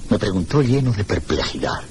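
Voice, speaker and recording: An actor playing a gentleman traveller narrating a mystery in a radio drama, measured, literary and formal. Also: on the low side, very animated, a little hiss or hum. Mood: measured, reflective. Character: reflective gentleman traveller telling his own mystery tale.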